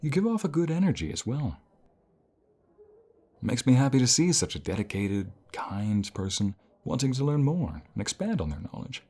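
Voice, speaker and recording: A man playing a caring, encouraging college professor in an intimate ASMR role play, speaking softly in a gentle, unhurried, reassuring way. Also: mid-range voice, very animated, breathy edge. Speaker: voice actor in an audio role play.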